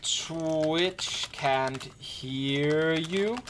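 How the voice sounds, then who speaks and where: Man streaming a game, gentle and easygoing, slightly high voice, unhurried, faint keyboard typing. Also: slight background noise. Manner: gentle, easygoing, chatty with wry asides. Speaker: streamer and squad commander. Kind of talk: casual live-stream, explaining game mechanics. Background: faint keyboard typing and clicks.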